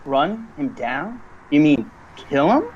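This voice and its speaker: Radio play hitchhiker: man playing a blunt, colloquial drifter, very animated intonation, slight background noise. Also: fairly high pitch, slow, dull audio. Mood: baffled, wary. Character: brash, folksy, easygoing hitchhiker turning wary. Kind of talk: amateur radio thriller acting.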